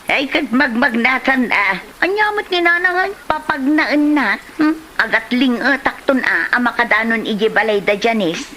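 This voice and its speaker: Woman playing a bright, high-voiced nagging Ilocano auntie. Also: animated, moderate pace, hardly any pauses, a little hiss or hum, poor audio. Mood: scolding. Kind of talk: Ilocano comedy drama acting.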